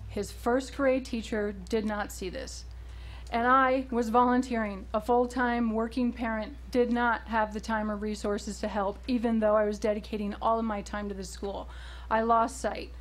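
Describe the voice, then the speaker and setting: Woman, concerned parent, public comment, earnest, pointed, slightly high pitch, podium mic, meeting room. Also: rough recording. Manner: earnest, pointed, pleading for action. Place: school board meeting room.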